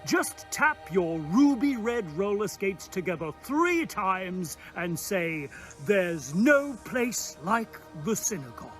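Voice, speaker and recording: Slow, swooping jokey voice of God. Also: male voice, high voice, very animated, fairly bright tone, soft background music, exaggerated voice. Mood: unhurried, reassuring. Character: voice of God, slow, easygoing, jokey male deity. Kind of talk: comic radio sketch.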